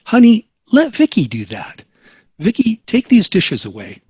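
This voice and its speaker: A man acts out a chipper, genial sitcom father, reassuring and upbeat. His voice is a bit high and husky, on a slightly dull, lo-fi recording. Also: wildly swooping pitch, unhurried, darkish tone, dry recording, full character voice. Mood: upbeat, pleased. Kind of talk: sitcom table read. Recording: slightly dull, lo-fi online call.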